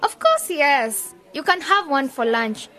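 A very high-pitched, bossy young female singer from a radio drama. She is impatient and commanding, with a sharp tongue. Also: sing-song, measured pace, exaggerated voice. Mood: exasperated.

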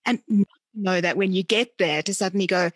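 A woman hosting a conversational interview, speaking deliberately and often rephrasing as she goes. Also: mid-range voice, fairly bright tone, no room sound. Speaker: interviewer and podcast host.

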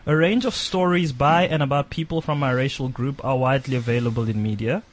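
A man hosting a radio talk show, reading a checklist aloud and bantering with co-hosts. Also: mid-range voice. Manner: brisk, reads items aloud then banters.

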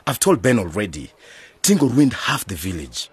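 A very husky middle-aged village uncle in a radio drama. He is wary and protective, and his pitch swings widely as he presses each point. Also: very animated, normal speed, heavily acted. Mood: alarmed warning.

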